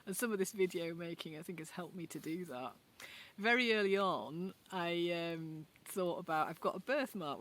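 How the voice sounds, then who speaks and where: Woman, middle-aged, Yorkshire accent, casual vlog chat, reflective and rambling, outdoors in a garden, faint ambient noise. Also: lowish voice. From Yorkshire, England. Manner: reflective, musing, loosely rambling with hesitations. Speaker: vlogger. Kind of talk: casual unscripted talk to camera.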